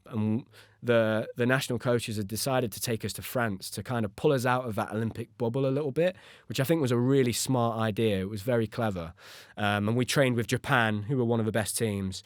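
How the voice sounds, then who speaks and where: A man in his thirties with an English accent recounts his own experiences for a podcast. His voice sits at a middling pitch, and he talks in an earnest, conversational way. The sound is dry, with very little of the room in it. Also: no echo. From United Kingdom. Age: 30s. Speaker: podcast host and former gymnast. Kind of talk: first-person storytelling on a podcast.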